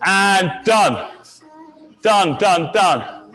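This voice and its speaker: A man leads a high-intensity workout as its instructor. His voice sits a little high for a man. He mixes encouragement with countdowns and clipped commands, with music playing softly behind him. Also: animated, very slow. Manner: encouraging, counting down, barking short cues. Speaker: fitness instructor. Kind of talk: leading a live HIIT workout class.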